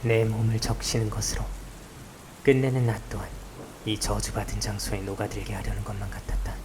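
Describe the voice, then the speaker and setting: A man playing a brooding, gloomy young protagonist of a visual novel, with subdued, halting delivery. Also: fairly low pitch, little pitch movement, noisy background, lightly acted. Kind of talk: visual novel inner monologue and dialogue. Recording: loud rain and thunder behind. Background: rain and thunderstorm.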